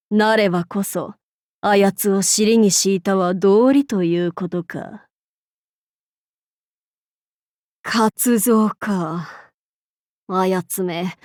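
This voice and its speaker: 女性が演じる尊大な魔王の女武将、ゆったりした語り. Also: female voice, mid-range voice, a little mellow, no room sound, studio quality, lightly acted. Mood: composed, lordly. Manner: pompous, archaic lordly speech. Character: imperious demon-king female warlord, archaic, haughty, amused by herself. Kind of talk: Japanese mobile game character voice lines.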